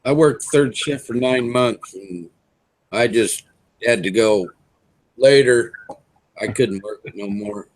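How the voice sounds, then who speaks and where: A man in his fifties hosting a casual online video hangout, chatting in an easygoing way with drawn-out fillers over a computer mic. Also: medium pitch, poor audio. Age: 50s. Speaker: host.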